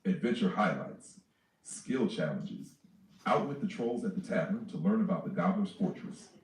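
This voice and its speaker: A man reading a character and campaign sheet aloud in a flat, list-like way. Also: low-pitched, moderate pace. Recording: distant, echoey, faint noise.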